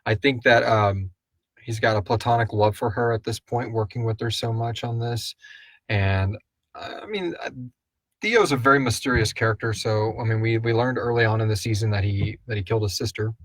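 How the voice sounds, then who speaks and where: Male host, lowish pitch, dry sound, casual rambling Q&A delivery. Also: moderate pace, dry recording. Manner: rambling, frequent "like". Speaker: host and writer of a horror audio drama. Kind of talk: casual live Q&A chat.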